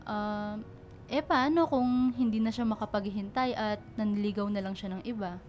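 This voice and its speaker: A woman's voice: medium pitch, steady tone, normal speed, a little mellow, a little hiss or hum, close to an everyday voice. A woman playing a caring, protective young big sister in radio drama dialogue. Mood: teasing, probing.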